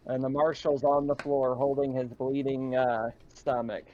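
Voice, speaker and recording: A man's voice: medium pitch, flat delivery, measured pace. Man running a game, dull lo-fi sound. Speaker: game master. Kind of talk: narrating a tabletop roleplaying game, casual.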